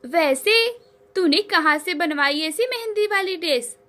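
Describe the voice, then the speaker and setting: A woman voicing an admiring, excitable young bride-to-be in a cartoon story, gushing with English words mixed in. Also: very high-pitched, a little slow, full character voice.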